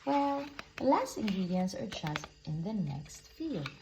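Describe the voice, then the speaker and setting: Friendly storybook bear, calm comforter of the group, read by a woman, animated intonation, faint background noise. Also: medium pitch, measured pace. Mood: reassuring. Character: cheerful, reassuring storybook bear.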